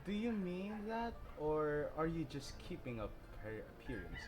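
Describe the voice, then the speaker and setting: Slow-talking voice with brief replies. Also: mid-range voice, sing-song, darkish tone.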